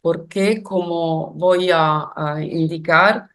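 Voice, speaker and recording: A woman's voice: lowish voice, steady tone, slow. A woman with an Italian accent giving a careful, reflective webinar lecture in a non-native language, with frequent hesitations. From Italy. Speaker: guest lecturer.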